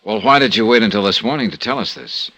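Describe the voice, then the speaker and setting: A man's voice: on the low side, quick pace, creaky, bright, forceful tone, breathy edge, full character voice. An actor playing a wry, hard-boiled male insurance investigator in a 1950s radio drama, talking in a dry, clipped, sardonic way.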